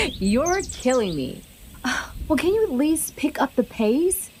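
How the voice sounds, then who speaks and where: A woman's voice: high voice, sing-song, slow, slight background noise, full character voice. A woman playing a sporty, cheerful, teasing teenage girl in an audio drama. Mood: amused, impatient.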